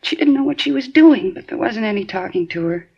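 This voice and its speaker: A worried housewife with a husky, gravelly voice. She talks in an earnest, fretful way and sits fairly high for her part. The sound is dull, like an old radio broadcast. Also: animated, a little fast, a little mellow, heavily acted. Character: anxious middle-aged housewife witness. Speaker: witness questioned by police. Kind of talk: 1950s radio drama acting.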